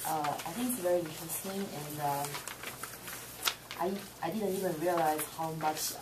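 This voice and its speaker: A first-time guest, a woman whose first language is Chinese, giving halting feedback with many fillers. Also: low-pitched, steady tone. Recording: distant mic with loud noise.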